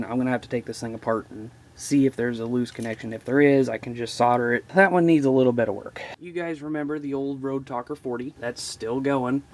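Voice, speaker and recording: A man casually showing his radio gear at home, rambling in a folksy way and talking straight to his viewers. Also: medium pitch. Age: adult, married father. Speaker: radio hobbyist vlogger. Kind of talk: casual show-and-tell vlog. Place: indoors at home.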